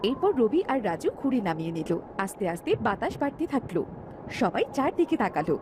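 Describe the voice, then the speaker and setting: A woman telling a cartoon story in gentle, scripted storybook narration. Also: on the high side, big pitch swings, a little fast, noisy background. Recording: narration over loud music.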